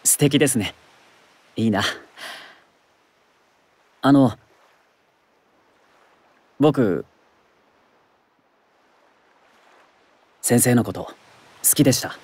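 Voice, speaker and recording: Slow, husky, earnest teenage boy. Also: medium pitch, expressive, no room sound. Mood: shy, earnest. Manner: soft, hesitant, earnest. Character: earnest, reserved teenage schoolboy. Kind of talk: anime audio drama acting.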